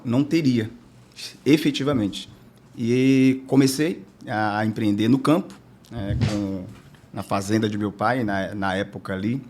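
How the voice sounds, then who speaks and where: Male guest, relaxed storyteller, mid pitch, some restarts. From Salvador, Bahia, Brazil. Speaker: guest, entrepreneur. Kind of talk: storytelling in podcast interview.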